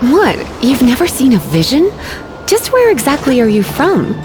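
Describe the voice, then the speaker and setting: A woman's voice: high voice, huge pitch swings, normal speed, full character voice. An actress voicing a languid, teasing, learned woman mage in a video game, with a knowing, theatrical delivery. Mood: incredulous, mocking. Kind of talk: scripted video game voice acting. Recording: game music behind the voice.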